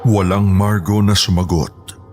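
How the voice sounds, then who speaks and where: A man's voice: fairly low pitch, little pitch movement, unhurried, music in the background. A man with a Filipino accent reading scripted first-person horror narration.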